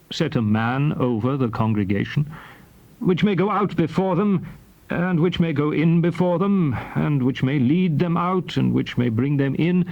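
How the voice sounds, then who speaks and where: A man's voice: fairly high pitch, gravelly, darkish tone. An actor playing an earnest male prophet in reverent, beseeching prayer, in a dramatized scripture recording. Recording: dull old tape.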